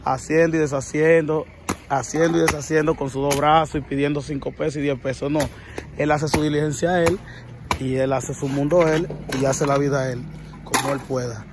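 A man's voice: a little high, steady tone, a little fast, noisy background. A man interviewing on the street, addressing viewers directly and repeating himself, recorded outdoors.

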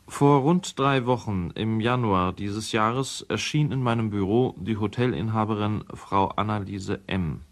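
A man's voice: on the low side, natural voice. An actor playing an earnest lawyer reading out his urgent letter in a radio play, formal and precise.

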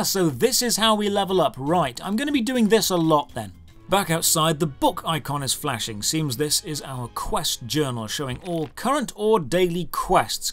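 A man with a high-pitched voice delivers a scripted video game review. His intonation swings in a lively way as he plays up sarcasm and mock exasperation. Faint music runs underneath the narration.

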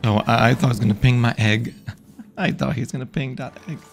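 A man's voice: mid-range voice, steady tone, fairly quick, creaky, soft background music. A man streaming a digital card game live, playfully chatting with his opponents and viewers.

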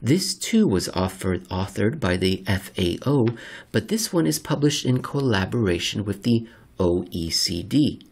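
A man with a slightly husky voice narrates a written article in a deliberate, measured way with dramatic pauses. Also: lowish voice, big pitch swings, darkish tone. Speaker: narrator reading an article aloud. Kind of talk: scripted narration of an economics article.

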